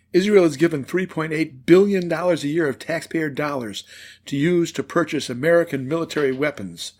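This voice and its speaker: A man hosting a talk show, reading a measured opening and introducing his guest. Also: a little high, a little mellow. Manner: measured, read-aloud delivery. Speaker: radio show host. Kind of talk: scripted commentary and interview intro.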